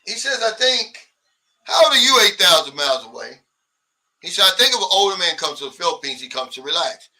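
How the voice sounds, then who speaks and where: A blunt, animated man in his sixties chats on a livestream, reading out viewer comments and answering them. His voice is high and very bright, a little off the mic. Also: brisk, recorded from a distance. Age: 60s. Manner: blunt, animated, mimics others' complaints. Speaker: livestream host.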